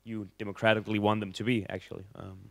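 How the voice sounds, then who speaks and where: A man moderating a conference panel, brisk and conversational. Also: lowish voice, little pitch movement, creaky, fairly bright tone, dry recording. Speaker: session moderator.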